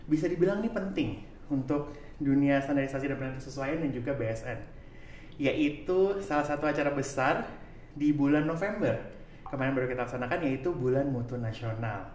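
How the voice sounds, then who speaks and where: A man's voice: a little high, brisk. Echoey, chatty male podcast host. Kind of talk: casual talk show hosting. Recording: roomy echo, distant mic, background noise.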